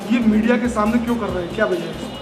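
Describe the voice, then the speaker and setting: A man's voice: sits high, quick pace. A man asking questions as a news reporter in an interview. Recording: echoey room, loud music over speech. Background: loud music.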